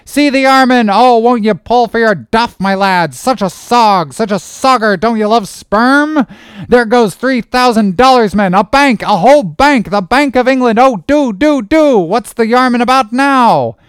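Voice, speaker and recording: An excitable sailor pleads frantically with his rowers. The high voice rings out smooth and clear in a dry storybook recording. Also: measured pace, dry recording. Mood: frantic, excited. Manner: frantic, begging, exclamatory. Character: excitable, pleading little whaleboat mate.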